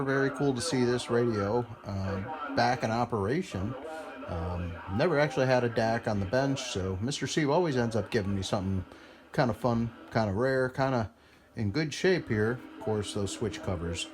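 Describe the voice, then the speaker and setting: A man's voice: medium pitch, lively intonation, normal speed, a crowd in the background. A man giving an informal, hands-on demonstration commentary at a repair bench, thinking aloud as he works. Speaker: radio repair hobbyist, video presenter. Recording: clear background noise.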